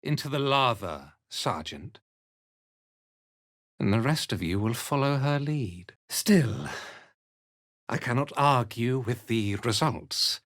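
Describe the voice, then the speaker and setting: An actor playing a cold, haughty commander of a fanatical cult in a video game, speaking with measured, condescending menace. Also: male voice, medium pitch, expressive, fairly bright tone, no room sound, studio quality. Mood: menacing. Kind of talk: video game character acting.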